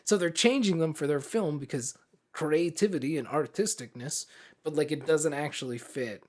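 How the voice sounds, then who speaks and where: Higher-voiced man chatting on a podcast. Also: normal speed. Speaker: podcast co-host. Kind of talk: casual podcast chat.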